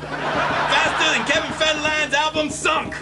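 A man's voice: extremely high pitch, flat delivery, unhurried, recorded from a distance, loud music behind the voice. A man voicing an insecure male puppet fortune-teller, whiny, defensive and slangy, in a comedy sketch. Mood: brash, hawking. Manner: whiny, defensive, slangy street-hawker patter.

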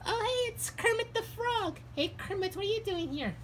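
A very high, squeaky cartoon sea sponge, excitable and whiny. He is dramatic and exclamatory, in a homemade puppet show with some background noise. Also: moderate pace. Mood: disgusted, curious. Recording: noisy homemade recording.

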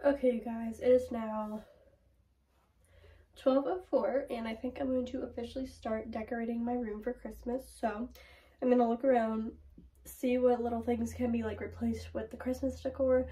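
A woman's voice: a little high, little pitch movement, normal speed, mellow, husky. A young woman vlogging casually to her phone camera, chatty and rambling, with a voice worn by a cold. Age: young adult. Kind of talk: casual vlog chat to camera. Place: bedroom and car. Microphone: phone held at arm's length.

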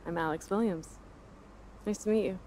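A woman's voice: mid-range voice, normal speed, dark tone, lightly acted, rough recording. Woman acting cool sardonic american lady reporter in a video game, pointed and sure of herself, bit of noise behind. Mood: teasing, sardonic. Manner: pointed, sardonic, self-assured. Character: sharp, ambitious female sports reporter, cool and provocative. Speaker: sports journalist character. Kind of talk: video game cutscene dialogue.

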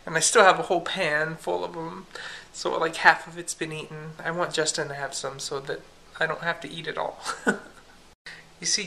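A woman's voice: low voice, sharp tone, slight background noise. A young woman vlogging at home at night, talking casually and giggling to a handheld camera. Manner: chatty and giggly, lots of fillers. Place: home kitchen at night, then living room. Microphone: camera held at arm's length.

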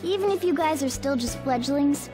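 A woman's voice: high-pitched, animated, normal speed, over music. A woman voicing a small, self-assured male cat who talks like a bossy, pompous mentor in a video game. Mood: confident, explanatory. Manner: pompous, instructive. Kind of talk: English video game voice acting.

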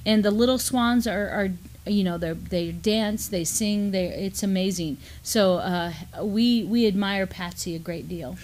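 A middle-aged woman co-hosting a news discussion in a conversational style, with halting, filler-heavy delivery. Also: mid-range voice, a little mellow. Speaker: co-host and university lecturer. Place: small studio, seated at a table.

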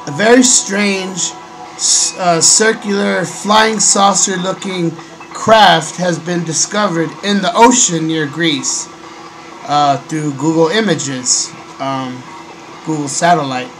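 A young man, hoarse from a cold, hosting a casual webcam vlog from a small bedroom into a desk microphone, pausing often with ums and clearing his throat. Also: a little high, steady tone. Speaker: host. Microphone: desk microphone on a stand. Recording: noisy home recording.

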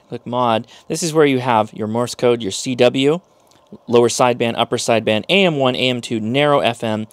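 A man in his thirties presents a hands-on product review. He talks casually, in a medium-pitched voice, explaining each step as he goes. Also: no echo. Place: small indoor workshop room.

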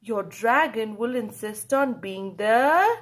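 A woman reading a picture book aloud to children, expressive and playful, drawing out key words for emphasis. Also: on the high side, takes it slowly, slight background noise. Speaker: children's storyteller.

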